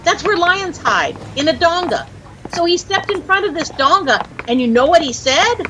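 An actress with an American accent playing a chirpy, easily dazzled young housewife who gushes and lightly scolds in a 1940s radio comedy. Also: female voice, very high voice, sing-song, moderate pace. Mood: gushing, admiring. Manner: gushing, breathlessly admiring, lightly scolding. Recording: noisy old-time radio recording.

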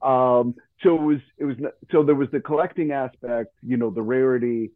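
A man chatting casually as a radio collector, with hesitant, halting phrasing, over a thin phone-like line. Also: mid-range voice, fairly even intonation, dry recording. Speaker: old-time radio collector. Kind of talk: casual chat.

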